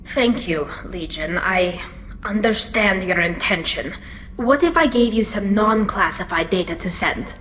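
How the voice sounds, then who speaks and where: An actress voicing a loyal, emotional young engineer, speaking earnestly. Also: female voice, mid-range voice, animated, measured pace, a bit bright, soft background music, poor audio. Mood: softened. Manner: earnest pleading speech. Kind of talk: video game voice acting.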